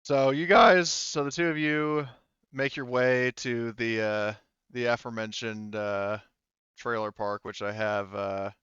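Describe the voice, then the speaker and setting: A man's voice: mid-range voice, fairly even intonation, a little slow, fairly bright tone, clean tone, no room sound, highs cut off. A man running a tabletop roleplaying game, narrating informally and hesitantly as he improvises. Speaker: game master.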